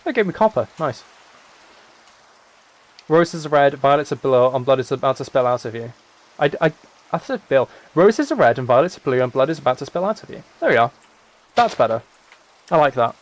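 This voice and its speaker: A young British man chatting casually as he streams a game, rambling and thinking out loud as he goes. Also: medium pitch, animated, a little fast, long pauses. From United Kingdom. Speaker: live streamer.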